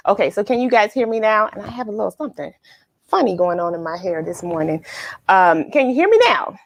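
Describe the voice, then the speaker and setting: A woman hosting a live read-along for children, chatting with viewers in an upbeat, excited manner. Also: mid-range voice, a little fast, dry recording.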